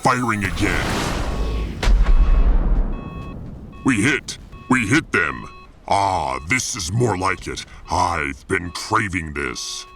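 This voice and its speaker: A man's voice: sits low, sing-song, very slow, creaky, long pauses, bright, forceful tone, faint music behind, heavily acted. A man playing a proud, battle-hungry barbarian warrior officer in a sci-fi audio drama, bellicose and grandiose.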